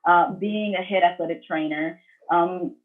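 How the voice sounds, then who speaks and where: A woman moderating a panel discussion on a video call, speaking in a measured, affirming way. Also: fairly low pitch, fairly even intonation, narrow, tinny audio. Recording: thin, band-limited video call audio.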